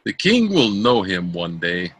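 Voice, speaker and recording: A man reading Shakespeare verse drama aloud as an amateur, in a theatrical, declaiming manner with a husky voice. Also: deep voice, huge pitch swings, a little slow, a bit bright, no echo. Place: close dry room, online call.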